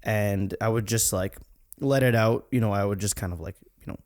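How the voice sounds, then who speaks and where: A young man in his twenties hosting a casual podcast chat, speaking in a calm, thoughtful, reflective way. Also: on the low side, normal speed. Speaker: podcast host.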